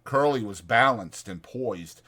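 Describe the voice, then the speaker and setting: Animated male audiobook narrator, bright and forceful. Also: mid-range voice, measured pace. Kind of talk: dramatized novel narration.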